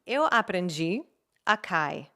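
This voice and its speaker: A woman's voice: fairly low pitch, slow. A woman from the United States, a native English speaker with an American accent, explaining language tips in a casual conversation. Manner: animated, explains with examples. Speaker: guest, English teacher.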